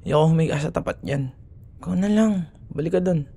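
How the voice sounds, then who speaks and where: A man's voice: a little high, a little fast, vocal fry, warm tone, slightly rough. A man with a Filipino accent playing a grumbling, teasing young school rival who secretly cares, in a sarcastic, casual ASMR boyfriend roleplay. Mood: flustered, annoyed. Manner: sarcastic, casual bickering with sighs and murmured asides.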